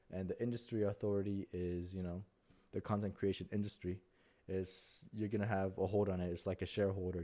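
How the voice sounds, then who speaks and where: Young mexican guy, spanish accent, low deep voice, kinda monotone, rambles a lot with lots of uh and you know. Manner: rambling, many fillers and restarts. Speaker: content creator. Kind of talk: casual talking-head advice.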